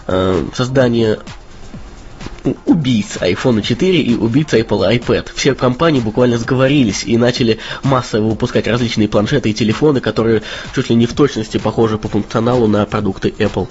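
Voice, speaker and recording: A man whose voice is slightly off from a cold co-hosts a casual podcast, pausing often on uh and um. His voice sits at a medium pitch, and the audio sounds dull and lo-fi. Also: darkish tone. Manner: hesitant, with frequent uh and um. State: voice a bit off from a cold. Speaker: podcast co-host. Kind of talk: casual news podcast chat.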